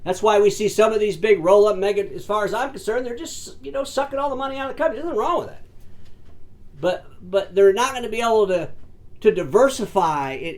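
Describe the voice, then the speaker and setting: A man delivers solo commentary in a fairly high voice, pressing his points hard and speaking straight to his listeners as folks and ladies and gentlemen. He often stumbles into repeated words and restarts before pushing on. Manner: emphatic, addresses audience as folks and ladies and gentlemen. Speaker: host of an industry commentary show.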